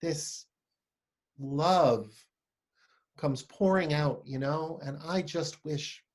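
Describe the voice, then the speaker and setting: A man's voice: a little high, drawn-out words. A man in a play reading over video call, playing a shy, earnest young poetry lover, hesitant and apologetic.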